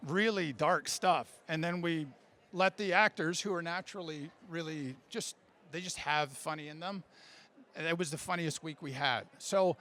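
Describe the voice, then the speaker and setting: High-voiced man giving an informal talk. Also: fairly bright tone. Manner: casual, self-deprecating, jokey. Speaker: speaker giving a workshop talk. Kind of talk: conference talk on writing, informal.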